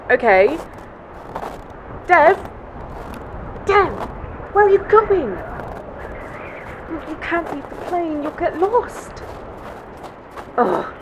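An actress playing a plucky young bush pilot, delivering a confiding dramatic monologue in an audio play. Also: female voice, high-pitched, slight background noise, low-quality recording. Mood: flustered. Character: plucky young female bush pilot narrating her story.

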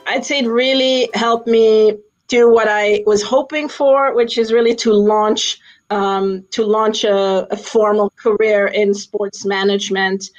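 A woman's voice: medium pitch, steady tone, unhurried, faint music behind. A middle-aged woman from Austria who grew up in Switzerland, talking casually and thoughtfully on a video call from a small room, with many fillers. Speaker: sports executive, alumna guest. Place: small indoor room with a plain wall. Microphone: laptop webcam on a video call. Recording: slightly dull video call audio.

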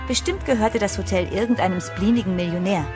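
An actress playing a sensible, uneasy young heroine in a horror audio drama, earnest and measured. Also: female voice, mid-range voice, music in the background, natural voice. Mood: reflective, uneasy.

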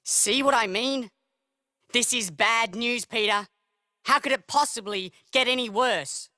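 A man's voice: very high voice, unhurried, bright, forceful tone, heavily acted. A man playing a paranoid, bullying cartoon TV comedy host, agitated and self-important. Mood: panicked. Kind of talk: animated satire dialogue.

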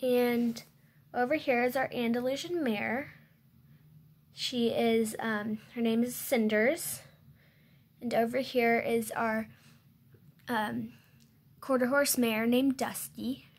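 Young girl's high, slow toy tour. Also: steady tone, husky. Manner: hesitant, many ums and self-corrections. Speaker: channel host giving a toy barn tour. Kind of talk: unscripted show-and-tell tour. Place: indoors at a toy model farm. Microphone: handheld phone filming close.

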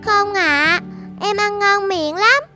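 A woman's voice: very high-pitched, slow pace, faint music behind, full character voice. A woman voicing a sweet, frail little girl, an affectionate younger sister in a cartoon, with a childlike, cutesy delivery. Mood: cheerful. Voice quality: childlike, bright. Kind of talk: animated children's story dubbing.

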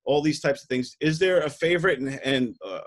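A man with a Long Island accent hosting a casual video-call interview, chatty and quick to laugh. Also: fairly high pitch. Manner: laughs often, loose and chatty. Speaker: podcast host. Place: home room, video call.

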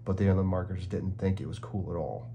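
A man reading a children's picture book aloud in an expressive storytelling manner. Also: low voice, fast talker, vocal fry, slight background noise. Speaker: story reader.

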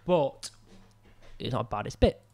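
Young guy reviewing his own footage, croaky and under the weather, thinking aloud with lots of ums, close-miked at a desk. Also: medium pitch, animated, slow, mellow. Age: young adult. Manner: rambling, self-critical, many fillers. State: ill, croaky voice. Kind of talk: casual commentary over footage. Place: small room indoors at a desk. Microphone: studio mic on a boom arm close to mouth.

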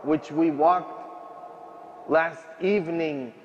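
A man in his thirties preaching a sermon as a priest, slow and emphatic, in a large stone church at a stand microphone. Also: a little high, fairly even intonation, a little hiss or hum. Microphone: stand mic at ambo. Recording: echoey church, distant dull sound.

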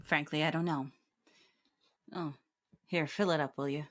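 A woman's voice: low-pitched, steady tone, unhurried, a bit bright. An actress playing a tough, guarded female police detective with a terse, wry manner in a radio drama. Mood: casual, wry. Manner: terse, hard-boiled, wry.

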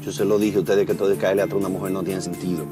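Fast-talking grumbling Dominican reluctant detective, played by a man. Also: deep voice, level tone, lightly acted. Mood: exasperated. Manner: complaining. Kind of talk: comedy film acting. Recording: music behind the dialogue.

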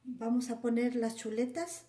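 A woman's voice: fairly high pitch, moderate pace, noisy background. A woman with a Mexican Spanish accent explaining cooking steps casually, in a homely way full of diminutives. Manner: homely, uses many diminutives. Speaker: home cook presenting recipes. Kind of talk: casual cooking voice-over, step by step. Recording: roomy echoing home recording.

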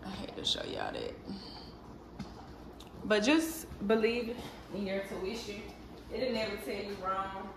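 A woman's voice: medium pitch, very animated, slow, pauses often, heavy reverb, far from the mic, slight background noise, low-quality recording. A woman, chatting candidly and animatedly to her viewers while cooking in her kitchen. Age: 30s. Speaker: vlogger. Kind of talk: casual chat while cooking. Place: home kitchen.

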